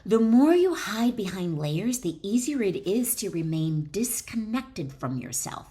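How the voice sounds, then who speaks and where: A woman's voice: mid-range voice, sing-song, unhurried, warm tone. A woman reading her own wellness book aloud in an emphatic, instructional way, often breaking off into chatty asides. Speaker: author and wellness educator.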